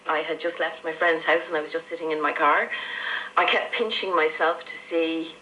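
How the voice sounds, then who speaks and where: A woman's voice: low voice, steady tone, normal speed, pushed and bright. An Irish woman in her fifties telling her own story conversationally as an interview guest, over shortwave radio. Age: 50s. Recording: noisy shortwave radio reception. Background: faint radio static.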